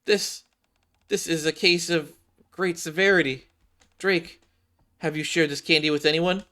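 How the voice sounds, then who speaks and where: A man's voice: a little high, fairly even intonation, pushed and bright, voice pushed up. A man voicing an earnest, formal young female detective in a game, primly self-assured and pompous. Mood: grave. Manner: formal, pompous, deliberate wording. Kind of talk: game cutscene read-aloud.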